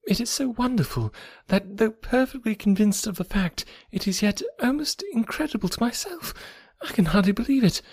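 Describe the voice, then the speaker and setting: A reader voicing a nervous middle-aged woman with a trembling, hushed voice in an audiobook. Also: medium pitch, animated, normal speed, slightly rough, pitched above the performer's own voice. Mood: anxious. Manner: hesitant, hushed, half-whispered. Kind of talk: audiobook character voice.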